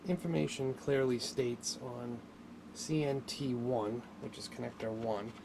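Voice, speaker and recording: A man giving a casual, unscripted hands-on tutorial, talking haltingly as he thinks aloud while working. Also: medium pitch, fairly even intonation, vocal fry, noisy background. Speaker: hobbyist presenter of a radio repair video.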